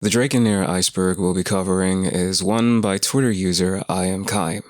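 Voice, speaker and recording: A man hosting a casual lore video, his voice a bit croaky from a cold, talking in a laid-back, rambling way with jokey asides. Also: low-pitched, fairly even intonation, normal speed.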